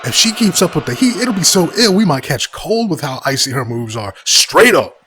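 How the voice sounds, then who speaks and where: A man's voice: on the high side, sing-song, normal speed, a bit bright, slight background noise. A man playing a hyped, slangy wrestling commentator who narrates each blow of the fight. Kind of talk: sports commentary.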